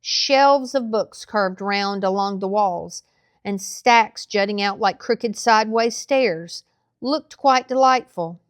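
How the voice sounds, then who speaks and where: A woman narrating a children's novel aloud in a measured, expressive storytelling style. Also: medium pitch, clear, resonant tone, dry recording, clean studio sound.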